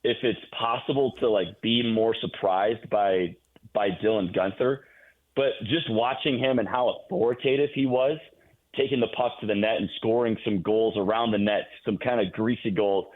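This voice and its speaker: A man's voice: on the low side, steady tone, normal speed. A man giving sports analysis as a guest announcer on a radio show, heard over a phone-like line.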